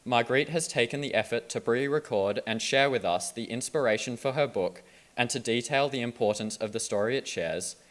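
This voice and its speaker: A man's voice: medium pitch, a little fast. Man reading a formal introduction. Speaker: festival presenter. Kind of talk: scripted introduction.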